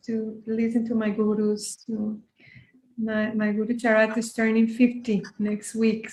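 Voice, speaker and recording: A woman's voice: medium pitch, roomy sound, slight background noise, rough recording. A woman from Costa Rica, a yoga teacher, speaking earnestly and reflectively as an interview guest. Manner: soft-spoken, earnest, frequent restarts.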